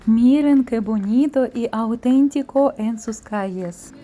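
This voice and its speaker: A woman narrating her travel vlog as a scripted voice-over, reading slowly and carefully from a memorized translated script. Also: a little high, dark tone. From outside Paraguay. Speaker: travel vlogger. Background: faint background music.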